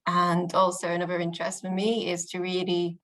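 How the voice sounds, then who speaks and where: A young British woman speaking in a fairly low voice. She talks earnestly and upbeat, with plenty of ums and restarts, like an interviewee thinking aloud. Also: completely flat delivery, fairly quick. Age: young adult. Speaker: interviewee, youth political spokesperson. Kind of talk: casual interview answers.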